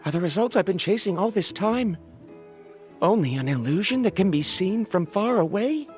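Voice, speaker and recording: Driven game adventurer played by a woman: serious, formal, mid-pitched but very expressive, faint music underneath. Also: moderate pace, lightly acted. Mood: dismayed. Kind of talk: scripted game dialogue. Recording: background music under the dialogue.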